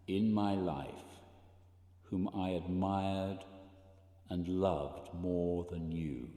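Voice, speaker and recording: An actor playing a dying Antarctic explorer in a radio play, earnest and solemn, speaking his farewell in halting phrases. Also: low-pitched, warm tone. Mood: tender, solemn. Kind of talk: radio drama monologue. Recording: roomy long reverb, faint noise.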